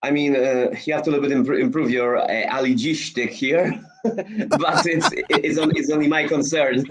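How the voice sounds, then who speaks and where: A man co-hosting a livestream, speaking in a hesitant, halting way with chuckles. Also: medium pitch, heavy background noise. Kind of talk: casual livestream chat.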